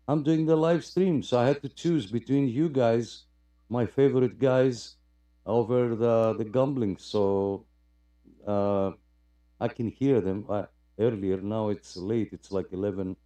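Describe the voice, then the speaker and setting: Mellow man hosting a radio livestream. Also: mid-range voice. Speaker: host of a radio club net livestream. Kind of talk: casual chat, greeting participants and reading out comments.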